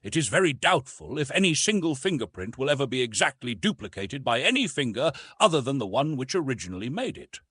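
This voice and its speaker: A man narrating plays an authoritative police official in a storybook. He instructs patiently and formally, with precise phrasing. His tone has a husky grain and lively rises and falls, in a dry recording. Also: mid-range voice, a little fast, few pauses, no echo, pitched above the performer's own voice. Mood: instructive.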